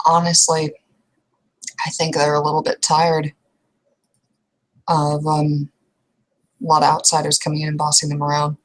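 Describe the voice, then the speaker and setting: A low female voice, halting and unsure, as a woman acts out a tough young werewolf who has grown weary. She talks in blunt, coarse phrases with searching gaps, like unscripted roleplay. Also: monotone, natural voice, low-quality recording. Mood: resigned, unsure. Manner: hesitant, halting, coarse-mouthed. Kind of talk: improvised in-character roleplay monologue.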